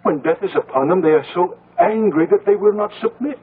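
A man's voice: sits high, lively intonation, slightly rough, narrow, tinny audio. An actor playing a hesitant, fearful, superstitious older father who stammers, in a 1970s radio drama. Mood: fearful conviction. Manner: halting, stammering. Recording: band-limited old radio broadcast.

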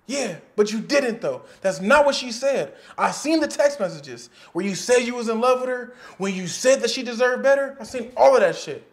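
A man's voice: very high-pitched, expressive. A man playing a sassy, dramatic best friend who feels betrayed, in a comedy skit. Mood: hurt, sassy. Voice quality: sassy, theatrical, drawn-out delivery.

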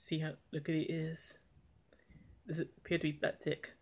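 A young man talking plainly and a little haltingly as he reviews eggnog on a home vlog, filmed by a camera on the table in a small room. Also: on the high side, monotone, a little mellow. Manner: plain, unhurried, slightly halting talk. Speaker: product reviewer. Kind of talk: casual taste-test vlog. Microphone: camera on table in front.

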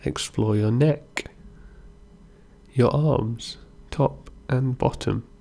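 A man gently guiding a meditation as an instructor, in an unhurried way with pauses between instructions. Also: mid-range voice, creaky. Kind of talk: guided body scan meditation narration.

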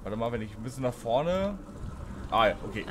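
A young male let's player with an average-pitched voice. He chats casually on a close mic, reacting to the game in short, offhand phrases. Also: very animated, brisk, a little hiss or hum. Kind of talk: casual co-op gaming chat.

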